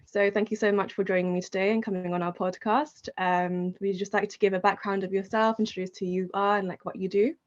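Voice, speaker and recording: A woman's voice: medium pitch, level tone, fast talker, hardly any pauses, muffled recording. A woman hosting a podcast, introducing her guest and asking loosely phrased questions in a friendly way. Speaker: podcast host. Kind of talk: interview hosting.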